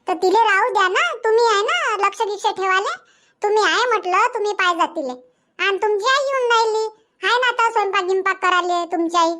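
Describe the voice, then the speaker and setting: Woman as a shrill-high, stubborn village wife. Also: brisk, sharp tone. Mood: insistent. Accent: Vidarbha Marathi. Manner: complaining, emphatic, chattering. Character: stubborn, grumbling village wife and young mother. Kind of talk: cartoon comedy dubbing.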